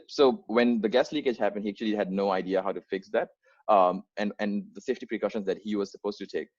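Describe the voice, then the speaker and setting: A man's voice: on the low side, dull audio. A young man in his twenties, an English speaker from Bangladesh, earnestly telling the story of founding his organisation over a video call, with many fillers and restarts. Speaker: founder giving an onboarding talk. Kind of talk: unscripted presentation to volunteers.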